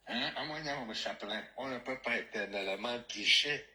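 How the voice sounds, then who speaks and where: Scornful man with a sharp, bright voice. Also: mid-range voice, normal speed, low-quality recording. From Cameroon. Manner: mocking, scornful, mixes in local language phrases. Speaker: caller, diaspora activist. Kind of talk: heated political rant.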